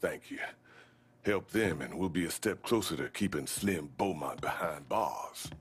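An actor playing a measured, middle-aged male community organizer in a video game cutscene. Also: animated. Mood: grave, measured. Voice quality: husky, low.

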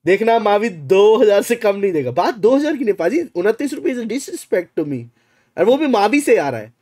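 A high-voiced man streams games and chats casually. His pitch swings widely as he teases and protests in mock indignation, with plenty of exclamations. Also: very animated, moderate pace, a little mellow, no echo. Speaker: game streamer. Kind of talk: casual livestream banter. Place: small room indoors.

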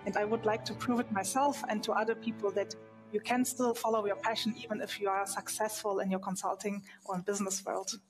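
A woman's voice: mid-range voice, level tone, brisk, over music, rough recording. A woman in her thirties from Germany, with Turkish as her first language, speaks earnestly but hesitantly as a panel guest, using a headset mic on a studio stage. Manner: hesitant, many fillers and restarts, earnest. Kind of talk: panel discussion.

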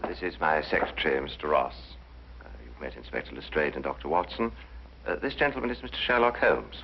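An actor playing a grave, protective English lord with a formal, hesitant, dignified British manner, in 1950s mystery drama. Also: male voice, rumbling low, lively intonation, brisk, creaky, a little hiss or hum, full character voice. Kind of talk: 1950s television mystery acting. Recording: dull 1950s soundtrack with faint music.

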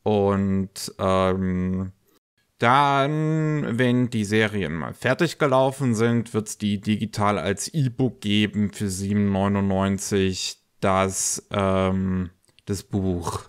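A man co-hosting a podcast, talking casually and haltingly, slightly congested from a cold. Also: fairly low pitch, clean tone. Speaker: podcast co-host. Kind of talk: casual news podcast talk.

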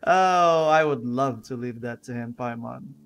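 A man's voice: mid-range voice, takes it slowly. A man giving casual let's play commentary in a chatty voice-over. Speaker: gamer commentating on playthrough. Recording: voice-over above game music. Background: game music.

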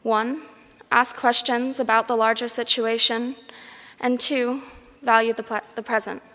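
A young woman, a graduating student, gives a prepared commencement speech in a measured, formal way. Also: a little high, fairly even intonation, bright, forceful tone. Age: young adult. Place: large concert hall, speaking at a graduation ceremony. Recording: echoey large hall PA.

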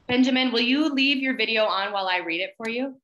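A woman's voice: a little high, fast, fairly bright tone, reverberant, muffled recording. A woman in her thirties hosting a student poetry reading over a video call, with an encouraging manner. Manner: encouraging, praising. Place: plain indoor room, on a video call.

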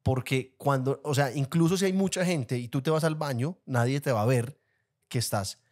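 A man's voice: mid-range voice, moderate pace, darkish tone. A young man co-hosting a casual podcast, teasing and arguing his points. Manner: teasing, argumentative. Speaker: podcast co-host. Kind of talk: casual chat.

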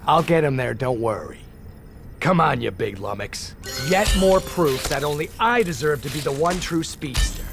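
An actor playing an arrogant, scornful speedster villain in an animated video game. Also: male voice, fairly high pitch, normal speed, vocal fry, music in the background.

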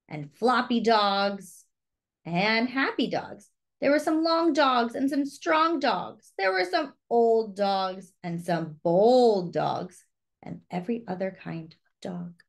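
A woman reading a children's story aloud expressively, with deliberate phrasing and simple explanatory asides to young listeners. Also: on the high side. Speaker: storybook reader and host.

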